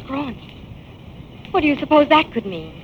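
A woman's voice: high-pitched, sing-song, moderate pace. An actress playing a loyal, practical young woman assistant in a 1940s radio drama. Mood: concerned. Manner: plain, supportive questions. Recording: dull old radio transcription with faint hiss.

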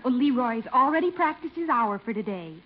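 High-voiced, girlish niece. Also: animated, fairly quick. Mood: chiding. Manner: prodding, girlish. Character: bright teenage niece, chiding her uncle. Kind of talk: 1950s radio situation comedy acting. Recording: dull vintage radio recording.

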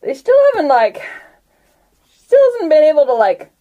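High, lively woman game master. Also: moderate pace, narrow, tinny audio. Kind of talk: casual tabletop game narration.

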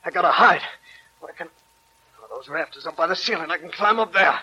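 A man's voice: on the high side, brisk, bright, forceful tone, husky, highs cut off, heavily acted. A man with an American accent playing an anguished, injured, vicious escaped convict in a 1940s radio crime drama. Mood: menacing. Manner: snarling, menacing. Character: desperate, vicious escaped male convict on the run. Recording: noisy old 1940s radio recording.

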